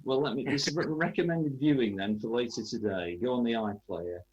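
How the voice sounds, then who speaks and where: A man's voice: mid-range voice, fairly quick, a little mellow, slightly rough, dull audio. A man interviewing in a chatty sports radio style, hesitantly rephrasing his questions as he goes.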